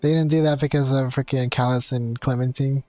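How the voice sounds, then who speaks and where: A man's voice: mid-range voice, steady tone, a little fast, mellow, no room sound. A young man commenting casually on his gameplay as a streamer, chatty and rambling. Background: faint game music.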